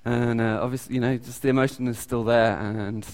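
A British man preaches in a church hall, and his voice rings with a long, echoey reverb. His pitch sits in the middle range for a man. He speaks haltingly and often pauses on ums as he searches for his words. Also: fairly even intonation, a little mellow, smooth. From United Kingdom. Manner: halting, many ums and restarts. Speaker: lay preacher. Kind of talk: informal sermon with audience questions.

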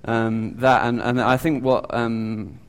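A man with a British accent giving an informal sermon. He talks haltingly, with many ums and restarts. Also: mid-range voice, steady tone, clean tone. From United Kingdom. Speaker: lay preacher. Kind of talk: informal sermon with audience questions. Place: church hall. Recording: long echoey church reverb.

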